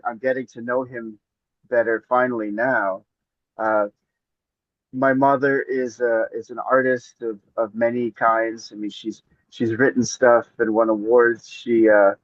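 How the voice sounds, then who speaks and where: Man from northern Maine, hesitant, pausing often, dull dry call audio. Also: mid-range voice, dry recording. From northern Maine, United States. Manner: measured, hesitant, with many pauses. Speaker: poet guest. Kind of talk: interview answers.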